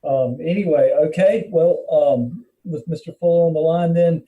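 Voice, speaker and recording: A man chairing a public meeting informally, speaking in a polite, halting way. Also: a little high. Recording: muffled, distant from the mic.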